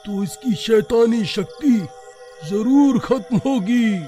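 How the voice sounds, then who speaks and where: A man's voice: very high-pitched, slow, dark tone, faint music behind. A man playing a cautious, caring brother in a cartoon horror story, with an earnest, worried delivery. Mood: alarmed, determined.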